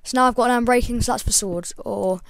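A chatty young woman with a croaky voice talks through a game update, rambling and filling pauses with ums. Her voice sits fairly high, and the recording is dry and close. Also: no echo. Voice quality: slightly croaky. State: croaky, voice breaking. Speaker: gaming channel host. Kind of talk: casual game tutorial voice-over.

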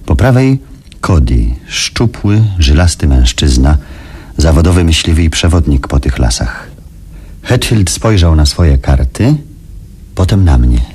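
Very low husky guy, dark mellow tone, calculating businessman telling his story in a radio play. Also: sing-song, unhurried, full character voice. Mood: measured, watchful. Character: Frank, calculating ambitious businessman recounting events in first person. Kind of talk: Polish radio drama narration.

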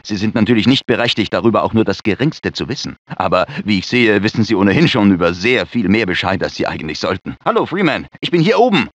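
A fussy, anxious research scientist from a video game. His formal, precise delivery swings animatedly in pitch with nervous urgency. The clip is dry and slightly lo-fi. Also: lively intonation, quick pace, hardly any pauses, dry recording. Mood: explanatory, then worried. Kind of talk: dubbed video game voice acting. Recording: dull, lo-fi game audio clips.

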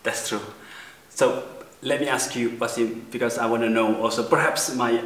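A man's voice: medium pitch, steady tone, normal speed, fairly bright tone. A man in his forties from Indonesia, hosting his channel with earnest, slightly formal explanations. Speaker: host. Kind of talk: talking to camera, then interviewing. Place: outdoors in a park, then indoors on a sofa.

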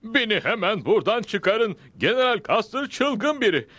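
A man's voice: high voice, sing-song, normal speed, a bit bright, slight background noise. A man voicing a fussy, hapless, complaining cartoon character. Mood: exasperated. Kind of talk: cartoon dubbing.

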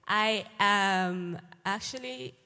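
A woman from Namibia, a student on a panel, introducing herself in a warm, slightly shy way. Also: mid-range voice, drawn-out words, clear, resonant tone. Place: large lecture hall stage. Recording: reverberant large hall.

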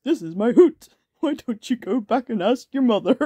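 A wise old storybook owl, acted out by a woman, hooting and deliberate. The voice sits high and speaks out boldly to children. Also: expressive, mellow, husky, no room sound. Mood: instructive.

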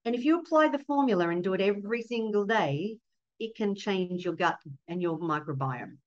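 A middle-aged woman with an Australian accent talks over a dull, lo-fi video call line from her home office. She speaks earnestly and warmly as a guest, often laughing as she explains things. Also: mid-range voice, expressive, normal speed. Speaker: fertility doctor guest. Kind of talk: casual interview on a video call. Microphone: laptop webcam mic on a video call.

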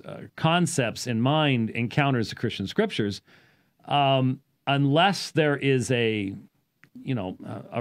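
A man in his fifties speaks in a medium-pitched voice, explaining things off the cuff like a teacher hosting a talk show. His delivery is deliberate and sometimes halting, with weight placed on the important words. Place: small indoor study with bookshelves. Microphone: studio microphone on boom arm, close.

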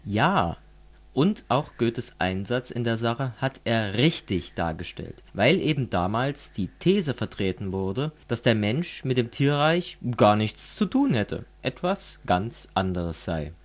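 A middle-aged man from East Germany in a scripted political dialogue, explaining things in a measured way. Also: mid-range voice, very animated. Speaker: dialogue partner in a political audio piece.

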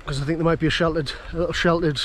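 A man with a Geordie accent and a notably high voice talks casually to camera on a hill walk. He is outdoors, with clear wind noise buffeting the microphone. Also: steady tone, rapid-fire, a bit bright. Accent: Geordie, North East English. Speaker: vlogger on a camping trip. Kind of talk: casual outdoor vlog chat. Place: outdoors on windy open hills.

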